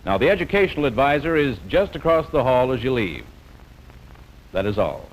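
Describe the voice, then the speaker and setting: Animated American military briefer. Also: male voice, mid-range voice, normal speed, a little hiss or hum, poor audio. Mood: official. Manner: formal, businesslike. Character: businesslike Air Force briefing official addressing new airmen. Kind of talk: formal briefing in a 1950s film.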